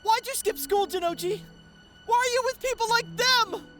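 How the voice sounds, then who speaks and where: A woman playing an earnest, loyal high school boy in an emotional, pleading way for an audio drama. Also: normal speed, over music. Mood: alarmed, pleading.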